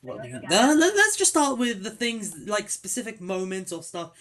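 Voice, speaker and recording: Young man, podcast host, casual chat, excitable, swears, stumbles over words, slightly high voice. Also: normal speed.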